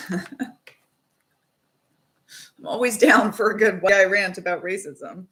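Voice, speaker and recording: A woman's voice: medium pitch, steady tone, slow, a bit bright. A young woman chatting casually on a livestream while knitting, rambling and laughing often. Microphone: phone mic with earbuds.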